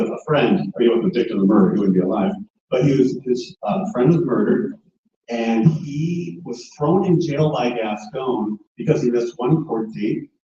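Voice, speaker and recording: A man running for district attorney, answering at a forum in a quick argumentative way with many fillers. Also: medium pitch, mellow. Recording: echoey hall, distant muffled mic.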